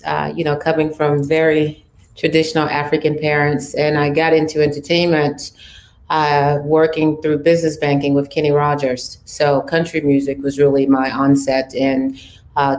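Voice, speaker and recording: Woman, very low flat voice, echoey room, faint background hum. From Cameroon, raised in Paris. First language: French. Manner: casual, with many fillers and restarts. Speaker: panelist, talent manager.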